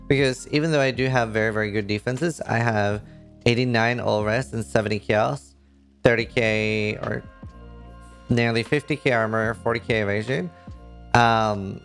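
Gravelly Norwegian man gushing about a game. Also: mid-range voice. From Norway. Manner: enthusiastic, rambling, lots of fillers. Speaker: streamer. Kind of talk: casual game commentary to camera. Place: indoor streaming room.

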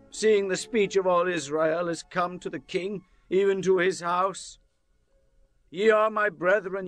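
A man's voice: high voice, a bit bright. A man playing a commanding, impassioned king in a scripture audio drama, declaiming in archaic language.

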